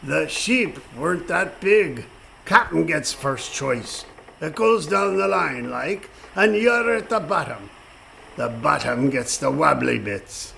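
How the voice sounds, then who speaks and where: An actor playing a gruff, sly pirate ship's cook in a comic radio play, with a gravelly voice and salty dialect. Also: male voice, on the high side, lively intonation, takes it slowly, pushed and bright, a little hiss or hum, heavily acted. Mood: gruff bossy. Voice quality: gravelly, creaky.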